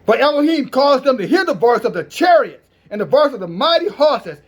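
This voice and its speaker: A man preaching in a strong, loud voice, reading scripture and commenting on it in a declamatory, defiant manner. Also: extremely high pitch, big pitch swings, brisk, husky. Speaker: Hebrew Israelite preacher.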